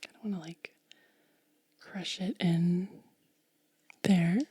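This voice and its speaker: A woman speaking in a soft, breathy whisper as an ASMR host, gentle and unhurried, close to the microphone. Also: fairly low pitch, flat delivery, dry recording. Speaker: ASMR video creator and host.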